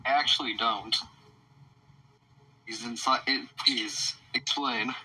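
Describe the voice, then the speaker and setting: A man's voice: mid-range voice, steady tone, slow, sharp tone, full character voice, rough recording. A man playing a defiant, sceptical young character in an improvised video game roleplay.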